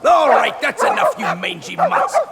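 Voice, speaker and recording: A man's voice: very high-pitched, huge pitch swings, moderate pace, full character voice. A man playing a cocky, scolding guard in a video game, exclamatory and taunting. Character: cocky, scolding guard sparring with mangy poodles. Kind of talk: video game character acting. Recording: loud noise, barking dogs behind.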